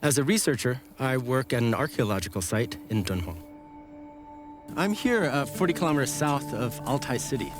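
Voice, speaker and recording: A man's voice: medium pitch, very animated, normal speed. A man with an American accent, a historian presenting and narrating a documentary. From United States. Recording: music behind the voice.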